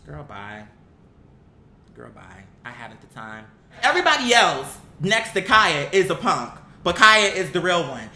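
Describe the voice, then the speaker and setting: A young adult commentator giving a blunt, exasperated opinion rant in casual, sweary language, repeating phrases for emphasis. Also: pushed and bright. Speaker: online commentator. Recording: echoey room with faint rumbling noise.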